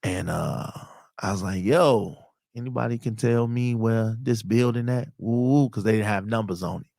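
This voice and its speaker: A man's voice: lowish voice, normal speed, gravelly, darkish tone, no echo, studio quality. A man in his thirties from telling personal stories in a casual, slangy, conversational way as a podcast host. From Chicago, United States. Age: 30s. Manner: conversational, slangy, acts out quoted dialogue.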